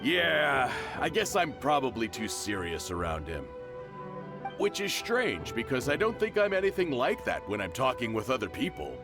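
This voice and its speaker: A man's voice: medium pitch, big pitch swings, moderate pace, creaky. A man voicing a generous, chuckling middle-aged shopkeeper and father in a game dub. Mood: kindly. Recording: game audio with music behind.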